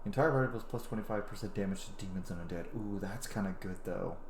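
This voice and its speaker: A man's voice: fairly low pitch, fast talker. Male streamer, 30s, casual and enthusiastic commentary, echoey room with noticeable background noise. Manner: enthusiastic, muses aloud, casually profane. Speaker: gaming streamer, let's play host. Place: indoor room at home. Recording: roomy echo, clear background noise.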